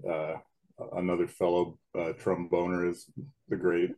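A man in his thirties with a big booming voice, chatting casually as a podcast guest and often restarting his sentences. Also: a little slow. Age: 30s. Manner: relaxed, rambling, with frequent restarts. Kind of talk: casual chat.

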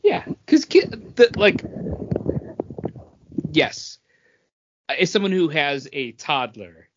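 A man's voice: on the high side, sing-song, takes it slowly, background noise. A man co-hosting a podcast, giving his opinions in casual conversation. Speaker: podcast co-host. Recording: dull, lo-fi podcast audio.

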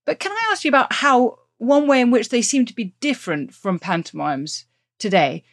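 A woman's voice: on the high side, lively intonation, normal speed. Articulate woman hosting a history interview. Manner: articulate, summarises then asks. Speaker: host, interviewer. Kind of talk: thoughtful podcast interviewing.

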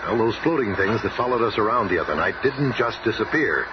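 An actor playing a calm, earnest scientist who leads a hero team, explaining with authority. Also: male voice, on the low side, fairly quick, natural voice. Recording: very noisy muffled old radio recording.

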